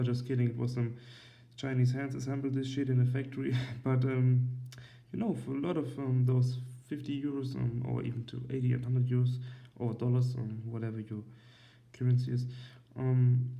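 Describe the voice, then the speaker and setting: German-accented young man, dark voice. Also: mid-range voice, vocal fry. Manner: rambling, lots of ums, swears casually, chuckles. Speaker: watch and cigar channel host. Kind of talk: casual review vlog. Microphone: iPad.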